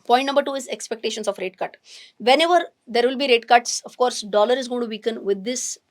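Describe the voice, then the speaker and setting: A woman with an Indian English accent teaches investing with animated emphasis, often restarting mid-sentence as she thinks aloud. Her voice is hoarse and strained. It sits fairly high. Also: a little fast. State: hoarse, nearly lost voice. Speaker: finance educator. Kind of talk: explaining investing to camera.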